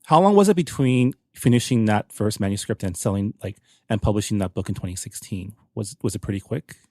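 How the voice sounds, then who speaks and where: A man speaking as a podcast host in a conversational interview, giving measured lead-ins to his questions. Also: lowish voice, mellow, no room sound, clean studio sound.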